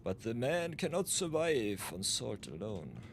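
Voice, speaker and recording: A man's voice: medium pitch, big pitch swings, a little slow, slight background noise. A man from Austria, streaming a game live, muttering his plans to himself and chatting with viewers. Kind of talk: casual live-stream commentary.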